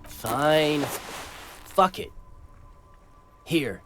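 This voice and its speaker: An actor playing a sulky, timid young punk in a game who backs down from fights. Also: male voice, a little high, lively intonation, extremely slow, fairly bright tone, soft background music, full character voice. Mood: exasperated surrender.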